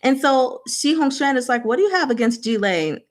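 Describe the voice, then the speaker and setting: A woman's voice: high-pitched, expressive, fairly quick. A woman hosting a casual book discussion, recapping chapters in a chatty, playful, slangy way and voicing characters' lines in everyday speech.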